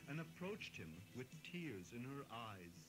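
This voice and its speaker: Male storyteller, medium pitch, solemn formal scripted narration, devotional audio drama, very prominent music behind. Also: big pitch swings, unhurried, low-quality recording. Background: loud music.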